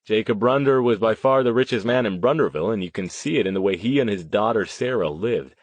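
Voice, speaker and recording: A man's voice: lowish voice, a little fast, dry recording, natural voice. A man voicing a vain, hot-tempered ghost-show host with a projected, theatrical delivery, in an audiobook. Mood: theatrical, calling out.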